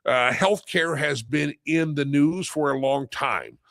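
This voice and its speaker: A man's voice: fairly high pitch, slow, creaky, fairly bright tone, no room sound, clean studio sound. A man hosting a live talk show, rambling with lots of fillers and a slightly nasal head cold.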